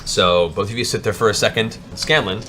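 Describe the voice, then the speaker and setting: A man's voice: on the low side, fairly quick, background noise. A man narrating a tabletop fantasy game as game master, with a dramatic, descriptive storytelling manner.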